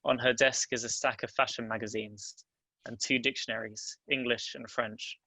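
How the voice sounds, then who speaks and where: A man's voice: mid-range voice, steady tone, moderate pace, sharp tone, no room sound, rough recording. A man narrating stage directions in a scripted table read.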